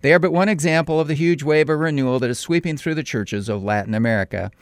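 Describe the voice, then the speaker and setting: A middle-aged man from California, a pastor, reading a scripted radio commentary in a deliberate, emphatic way with sudden rises in pitch on key points. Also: dry recording.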